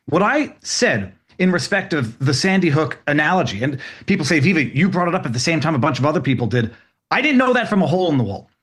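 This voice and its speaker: A male guest on a talk show argues his case in careful, lawyerly language. His voice sits a little high, and he stays level and deliberate even when he pushes back. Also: husky. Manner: argumentative, measured, lawyerly phrasing. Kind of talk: interview discussion.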